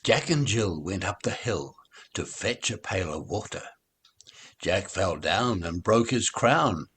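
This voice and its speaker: Man, very rough husky voice, slow pace, theatrical and playful, children's rhyme reading. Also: fairly low pitch, a bit bright. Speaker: reader of nursery rhymes. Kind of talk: expressive children's rhyme recitation.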